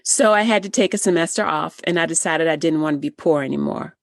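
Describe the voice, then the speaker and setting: A woman's voice: sits low, quick pace, gravelly, no echo, clean studio sound. A middle-aged woman telling her story as an interview guest, candid and plainspoken.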